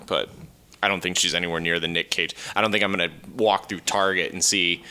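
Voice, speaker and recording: A man's voice: low-pitched, fairly even intonation, fairly quick, sharp tone, clean tone. A man co-hosting a podcast, explaining his views on films casually with hedged, loose phrasing. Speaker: podcast co-host. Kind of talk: casual film discussion.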